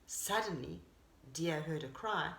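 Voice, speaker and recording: A woman teacher reading a children's story expressively, pausing to explain things to young listeners. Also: deep voice, vocal fry. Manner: animated, explanatory. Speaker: pre-primary teacher, storyteller. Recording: roomy echo.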